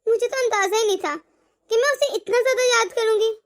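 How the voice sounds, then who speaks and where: Cartoon role: a proud, lonely mother, sorrowful and high-voiced, voiced by a woman. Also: brisk. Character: regretful, lonely, proud middle-aged mother. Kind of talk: animated family drama dialogue.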